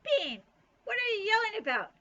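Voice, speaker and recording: A woman's voice: fairly high pitch, sing-song, normal speed, bright, forceful tone, highs cut off, exaggerated voice. A woman doing a bossy scolding big sister. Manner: scolding, unimpressed.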